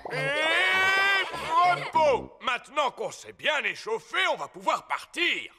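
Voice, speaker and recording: An actor voicing a boastful old male rabbit who teaches gym in a cartoon, with a hearty, booming manner. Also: big pitch swings, takes it slowly, pushed and bright, full character voice. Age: older. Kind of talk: dubbed cartoon acting. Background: cartoon music.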